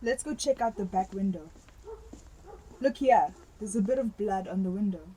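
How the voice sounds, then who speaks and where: A woman plays a police detective in a radio drama. She has a mellow, medium-pitched voice and a matter-of-fact, take-charge manner. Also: moderate pace, slight background noise, close to an everyday voice, rough recording. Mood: businesslike. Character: businesslike female police detective.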